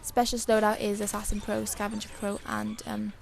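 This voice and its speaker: A woman with a dry, hoarse voice giving casual, chatty and rambling commentary over a video game. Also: mid-range voice, flat delivery, a little fast, slight background noise. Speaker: gaming video creator.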